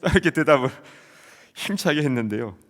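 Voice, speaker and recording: A man's voice: a little high, expressive, brisk. A man in his forties from South Korea, preaching a sermon as pastor and telling a personal story earnestly. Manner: earnest storytelling, shouting out quoted soldier replies. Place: church sanctuary. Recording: long hall reverb.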